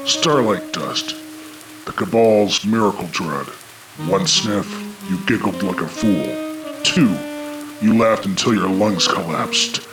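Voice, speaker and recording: Man voicing a deep, slow noir dragon detective. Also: poor audio. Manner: deadpan, terse. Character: hard-boiled male dragon detective, wry and world-weary. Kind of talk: noir radio play narration and dialogue.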